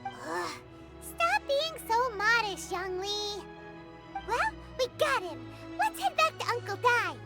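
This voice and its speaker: A squeaky, bossy little fairy sidekick. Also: female voice, big pitch swings, slow pace, full character voice. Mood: excitable. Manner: refers to herself in third person, brash and cheeky. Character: tiny chirpy female fairy companion, bossy and excitable. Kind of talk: video game voice acting. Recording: game audio under loud music.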